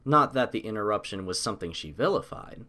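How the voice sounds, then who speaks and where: A man reading a story aloud as scripted audiobook narration, in a measured, literary storytelling manner. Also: on the low side, animated, sharp tone.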